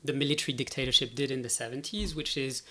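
A man's voice: mid-range voice, steady tone, fast, pushed and bright. A man speaking as an academic guest expert, explaining politics analytically and often checking in as he goes.

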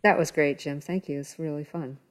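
A woman's voice: low voice, fairly even intonation, fairly quick. A woman on a podcast panel, reasoning aloud in casual conversation.

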